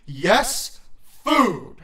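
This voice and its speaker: Woman doing a huge male changeling, storybook creature, lowered voice, irritable, worn out, snapping and hissing. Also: a little slow, roomy sound, close to an everyday voice. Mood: angry, impatient.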